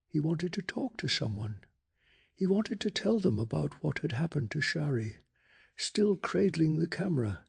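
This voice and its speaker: A man tells a bedtime story in a soft, hushed ASMR delivery. His voice sits a little high for a man and is very husky and breathy, crackling heavily with vocal fry. The telling is calming yet dramatic. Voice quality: breathy, gravelly.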